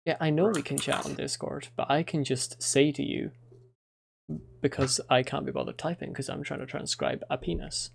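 Gravelly Scottish man chatting while typing. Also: mid-range voice, steady tone, normal speed, noisy background. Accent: Scottish with slight English. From Scotland. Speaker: streamer. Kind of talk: casual chat while transcribing.

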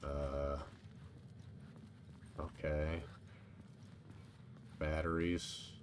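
A man's voice: very deep voice, flat delivery, extremely slow, slight background noise. A man giving casual, profane video game commentary, muttering asides to himself. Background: video game sound.

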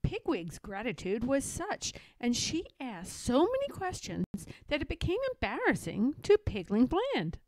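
A woman reading a children's story aloud in an expressive, deliberate storytelling manner. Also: high-pitched, dry recording, poor audio. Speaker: storybook reader. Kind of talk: children's read-aloud narration.

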